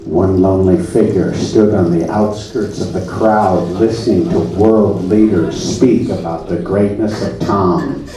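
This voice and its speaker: A man performing on a theater stage in a comedy show, speaking with deadpan, mock-earnest solemnity as a presenter. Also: low-pitched, measured pace. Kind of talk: comedy stage show, solemn story narration and audience address. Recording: echoey room, far from the mic, music.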